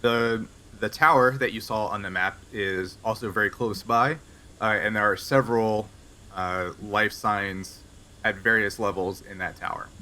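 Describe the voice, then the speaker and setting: A man's voice: fairly low pitch, steady tone, normal speed, sharp tone. A man improvising narration as game master of a tabletop game in a conversational, explanatory way.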